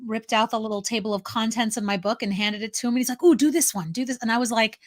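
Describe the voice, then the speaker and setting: A woman's voice: on the high side, fairly even intonation, fast. A woman chatting casually as a co-host, telling personal stories in an emphatic way. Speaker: talk show co-host. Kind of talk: casual anecdotal chat.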